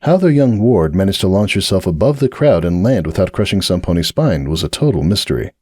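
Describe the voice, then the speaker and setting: Expressive low-voiced male storyteller. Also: fairly quick, slightly rough, dry recording, clean studio sound. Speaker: narrator. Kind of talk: dramatic audiobook reading.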